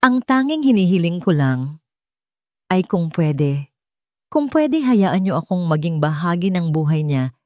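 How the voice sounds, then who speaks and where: Casting: woman narrator as regretful mother, calm with grief underneath, halting, mellow timbre, close dry sound. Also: on the low side, dry recording. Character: remorseful pleading mother, a former concert pianist.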